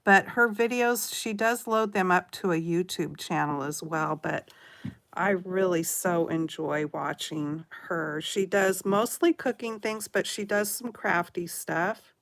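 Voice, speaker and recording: Slow-talking chatty woman from Oregon. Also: lowish voice, a bit bright. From Oregon, USA. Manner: chatty, giggly, rambling. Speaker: craft channel host. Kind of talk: casual chat with live viewers.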